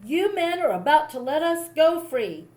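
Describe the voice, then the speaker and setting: A woman voicing a bold, hearty gentleman doctor from a storybook, boisterous and taunting. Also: high voice, big pitch swings, a little slow, roomy sound, voice pushed up. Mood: triumphant.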